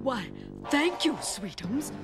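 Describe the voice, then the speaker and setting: Gushing, high-voiced cartoon society mother. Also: very animated, slow, over music. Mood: eager. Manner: gushing, affected, theatrical. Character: gushing, doting wealthy middle-aged mother. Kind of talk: animated children's cartoon acting.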